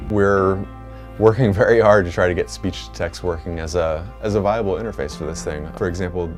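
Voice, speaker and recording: A man, a software developer, giving documentary interview answers in an explanatory way, often hunting for words mid-sentence. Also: low-pitched.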